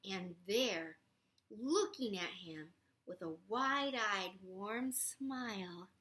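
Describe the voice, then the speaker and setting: A woman reading a storybook aloud to a young child in a playful, expressive way, with chatty asides and drops into whispers. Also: mid-range voice, drawn-out words. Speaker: storybook reader.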